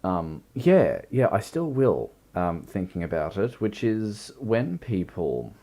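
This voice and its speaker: A man with a croaky voice, hosting a livestream and musing slowly through a philosophical chat that builds into long lists. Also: fairly low pitch, very animated, mellow. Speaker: livestream host.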